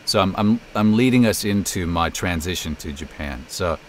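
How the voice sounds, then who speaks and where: A man's voice: low voice, lively intonation, normal speed, a little hiss or hum. A middle-aged American man chatting casually in an interview as a voice actor and narrator. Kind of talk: casual interview, telling career story.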